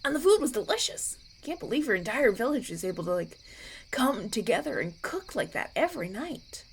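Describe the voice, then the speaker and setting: A woman voicing a kind, grateful young prince in a roleplay audio, speaking in a gentle, hesitant, conversational way. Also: a little high, big pitch swings, fairly quick, slight background noise. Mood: weary, appreciative.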